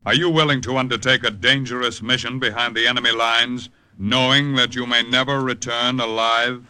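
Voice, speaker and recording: A man's voice: medium pitch, steady tone, normal speed, bright, forceful tone. A man announcing an old radio drama in a portentous 1950s style. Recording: old-time radio recording with music behind.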